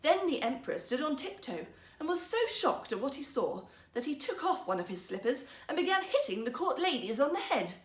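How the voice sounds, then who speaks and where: A woman storyteller reading a fairytale for children in a theatrical, expressive manner. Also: high voice, a little fast. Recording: echoey room.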